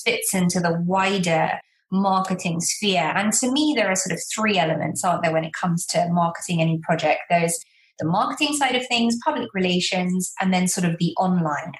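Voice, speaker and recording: A woman with a British accent, speaking as an expert guest and explaining things conversationally in an interview. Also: fairly low pitch. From Malta, born in southern England. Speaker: guest public relations expert. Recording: echoey room sound.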